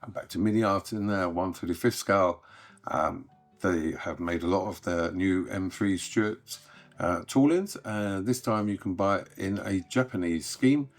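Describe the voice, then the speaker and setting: A man hosting a hobby news update, chatting casually and sounding croaky as he gets over an illness. He often trails off softly at the ends of sentences. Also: sits low, unhurried, soft background music. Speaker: host.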